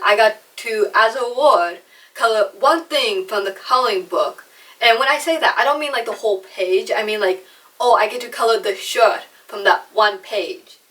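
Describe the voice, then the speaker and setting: A woman's voice: medium pitch, fairly bright tone, distant mic, rough recording. A young woman who was adopted from China and raised in America, talking in a chatty, self-deprecating way about her speech. She speaks with a slight lisp and some trouble with R sounds. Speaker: vlogger. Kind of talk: personal storytelling vlog.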